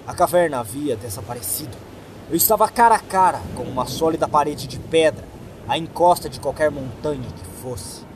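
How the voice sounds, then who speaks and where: A Brazilian man reading a horror story in a dramatic first-person narration, with deliberate, suspenseful phrasing. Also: mid-range voice. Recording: music behind the voice. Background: background music.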